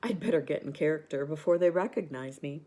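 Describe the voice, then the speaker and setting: Woman reading as a worried young hyena, low-pitched. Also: mellow. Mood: wry. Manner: play-acts a slow, grave ghost voice. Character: young female hyena, worried, bluffing as a solemn spirit.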